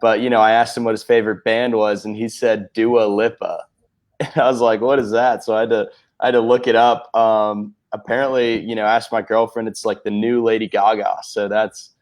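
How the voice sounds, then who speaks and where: A man's voice: lowish voice, level tone, normal speed. A man with an American accent hosting a casual interview in a laid-back, affirming way. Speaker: interview host.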